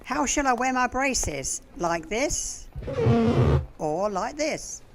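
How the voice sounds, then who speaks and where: A woman's voice: a little high, very animated, slow, loud music behind the voice, full character voice. A woman playing a cheeky, plain-spoken young working-class shop girl in a comic radio play.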